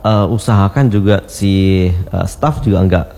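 The agreeable male host of a drive-time talk programme, dark and soft in tone, steering an interview from a ringing room. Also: sits low, unhurried. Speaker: radio talk show host. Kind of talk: casual radio interviewing. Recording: reverberant hall-like room.

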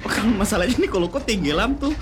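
A woman's voice: mid-range voice, animated, fast, background noise, lightly acted. A woman playing a chatty young coworker who jokes with her friends. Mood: joking. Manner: playful, chatty. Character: casual joking young female coworker.

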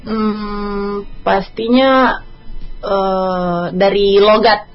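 A woman's voice: medium pitch, fairly even intonation, very slow, lo-fi sound, faint music behind. A woman, an actress guest on a radio interview, answering hesitantly with many fillers.

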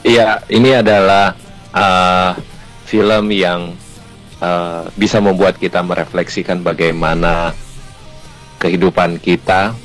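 A man's voice: deep voice, steady tone, slow, long pauses, a bit bright, faint music behind. A man in a film cast giving an earnest, reflective message during a radio interview. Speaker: film actor, guest.

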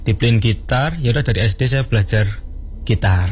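A man's voice: mid-range voice, a little fast, a little hiss or hum. A man of about thirty with an East Javanese Indonesian accent, chatting casually in short phrases as a musician interviewed on radio. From Madiun, East Java, Indonesia. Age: around 30. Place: radio studio.

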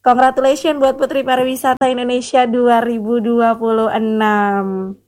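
A woman hosts a radio interview in a friendly, chatty way and drops English words into her speech. Her voice is high. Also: flat delivery, normal speed, darkish tone. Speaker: radio presenter.

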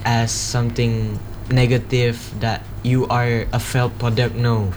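A man's voice: medium pitch, flat delivery. Earnest teen guy with Indonesian accent. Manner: earnest, halting, self-correcting. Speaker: student guest. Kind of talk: encouraging talk to classmates. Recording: background ambience noise.

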